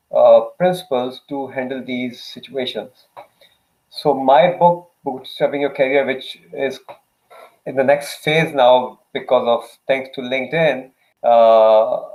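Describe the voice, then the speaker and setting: A man whose first language is Urdu, speaking as a guest in a casual interview, hesitant with many fillers and restarts. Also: medium pitch. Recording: tinny phone-like line.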